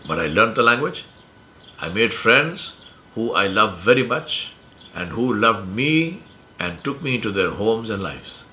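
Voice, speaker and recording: An Indian man in his sixties whose first language is Urdu speaks in a measured, emphatic way. His voice sits a little low but rings bright and forceful. A faint rumble runs under the recording. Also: big pitch swings. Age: 60s. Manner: measured, emphatic, uses metaphors. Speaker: speaker sharing life lessons. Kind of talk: reflective monologue.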